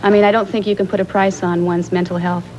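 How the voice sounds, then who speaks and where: An actress playing a measured, formal psychiatrist defending herself in a courtroom drama. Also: female voice, medium pitch, level tone, a little mellow, close to an everyday voice. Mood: composed, defensive. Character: composed, self-justifying female psychiatrist on the witness stand. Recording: muffled soundtrack with faint noise.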